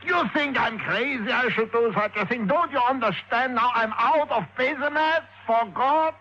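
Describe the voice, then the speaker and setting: An actor playing an indignant, defensive junkyard owner in 1950s radio drama, excitable and protesting in broken sentences. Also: male voice, very high-pitched, moderate pace. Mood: indignant, agitated. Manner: excitable, protesting with rhetorical questions in broken syntax. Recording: dull, noisy old radio recording.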